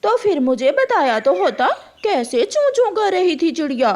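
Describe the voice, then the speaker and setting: A woman voicing a brash, scolding female crow in a children's cartoon story, sharp, haughty and bossy. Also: very high-pitched, very animated, normal speed, pitched above the performer's own voice. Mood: annoyed.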